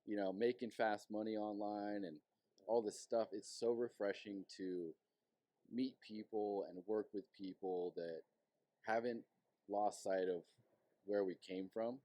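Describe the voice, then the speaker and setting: A young adult man hosting a podcast, talking in a relaxed, candid way and swearing casually. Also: fairly low pitch, measured pace, no echo. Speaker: podcast host.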